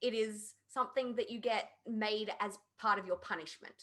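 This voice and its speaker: A woman's voice: mid-range voice, normal speed, a bit bright. A woman, sounding middle-aged, chatting casually as a podcast panelist.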